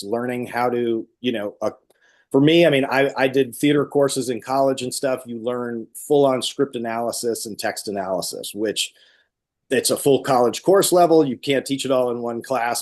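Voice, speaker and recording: Male acting teacher, medium pitch, talking through ideas casually and emphatically in an interview. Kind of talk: conversational interview explanation.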